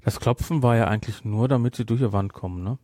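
A man co-hosting a podcast in casual chat, retelling and reviewing in a halting way with repeated words. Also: fairly low pitch, mellow, no echo, clean studio sound. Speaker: podcast host.

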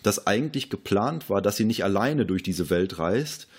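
A man's voice: fairly low pitch, animated, normal speed, slightly rough. A man podcast host talking in a casual film discussion.

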